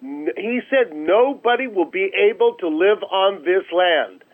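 A man's voice: high-pitched, expressive, unhurried. A man being interviewed as an organization's leader, speaking emphatically and persuasively with rhetorical questions and repetition. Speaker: interview guest, nonprofit chief executive. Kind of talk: radio interview, persuasive storytelling. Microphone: telephone. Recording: tinny, narrow-band telephone line.

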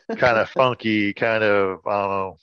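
A man's voice: lowish voice, fairly bright tone, clean tone, dry recording. A man co-hosting in casual, joking chat, on a dull, slightly lo-fi recording. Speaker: co-host.

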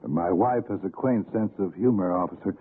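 An actor playing a polite, fussy, educated husband with a hesitant, proper manner in a 1960s radio suspense drama. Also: male voice, sits low, normal speed, close to an everyday voice. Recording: tinny, noisy old radio broadcast.

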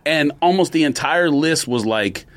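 Male podcast co-host, lively storyteller, slightly higher voice. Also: moderate pace. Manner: storytelling, teasing, laughs often. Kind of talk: casual chat.

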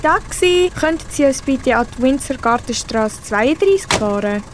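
A kind, decisive mother in a radio play acted by a female amateur. Her voice is high, with a Swiss German accent, over faint background noise. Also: animated, normal speed.

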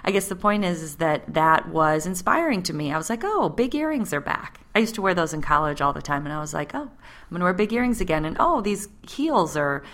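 Low-voiced chatty woman in her thirties. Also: lively intonation, fairly quick, fairly bright tone. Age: 30s. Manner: chatty, full of fillers. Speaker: podcast co-host. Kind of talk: casual conversation.

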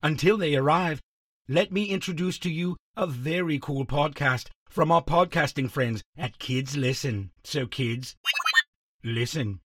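A man's voice: fairly high pitch, a little slow, a bit bright. A man playing a friendly host character who introduces a children's podcast, on a noisy recording. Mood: welcoming.

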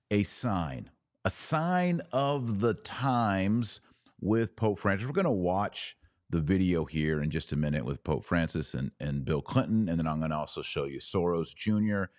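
Deep-voiced man, slow deliberate commentary. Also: lively intonation, dry recording, clean studio sound. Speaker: podcast host and commentator. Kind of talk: conversational news commentary.

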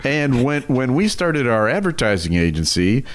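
A man's voice: medium pitch, big pitch swings, normal speed, dry recording. A man in his fifties or older, co-hosting a podcast with casual comic banter. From spent formative years in Europe. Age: 50s or older. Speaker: podcast co-host.

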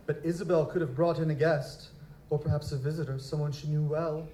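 A man's voice: on the high side, fairly even intonation, a little fast, dark tone, close to an everyday voice. A man playing a formal, touchy young nobleman, a bereaved fiancé, in an audio drama, with stiff aristocratic diction. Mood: polite, formal. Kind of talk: live audio drama acting. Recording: roomy, echoing, noisy live recording.

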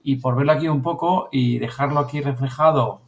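A man's voice: medium pitch, fairly quick, creaky. A man with a Spanish accent from Spain hosting a podcast in a casual, chatty style. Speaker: podcast host. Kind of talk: casual chat.

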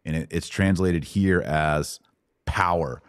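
Very deep-voiced man teaching, dry close sound. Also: slow, smooth, no room sound. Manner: explanatory, checks understanding with rhetorical tags. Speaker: host and Bible teacher answering questions. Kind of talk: conversational Bible teaching podcast.

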